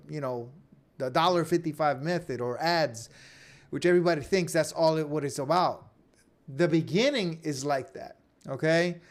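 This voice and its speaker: A man giving an informal screen-share tutorial as an online instructor, talking conversationally and often checking in with "okay?" or "right?". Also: fairly high pitch.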